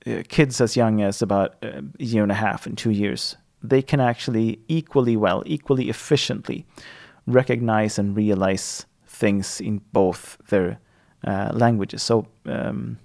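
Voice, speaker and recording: Swedish guy rambling in english, gravelly voice, lots of uhs and restarts. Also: lowish voice, steady tone, measured pace, darkish tone. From Sweden. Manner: hesitant, many fillers and restarts. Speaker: linguistics student. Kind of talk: casual monologue on bilingualism.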